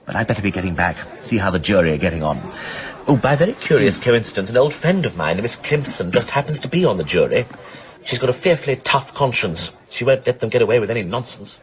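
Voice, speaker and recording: An actor playing an affable, aristocratic English gentleman detective in a radio drama, with breezy, politely old-fashioned phrasing and an upper-class accent. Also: fairly low pitch, expressive, fast, muffled recording, lightly acted. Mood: breezy, assured.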